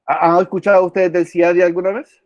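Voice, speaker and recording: A man's voice: high voice, little pitch movement, fairly quick, darkish tone, no room sound, lo-fi sound. A male law professor giving an online class, quizzing students by name and repeating key words. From El Salvador.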